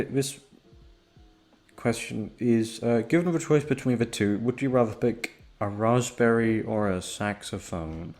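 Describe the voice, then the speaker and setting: A young man with a low, creaky voice talks quietly and slowly, as if keeping it down at home. His tone is dark and soft, and he rambles casually and hesitantly to his listeners, swearing as he goes. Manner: hushed, low-energy, keeping quiet for others in the house; laid-back, hesitant, swearing freely. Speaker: audio roleplay creator and host.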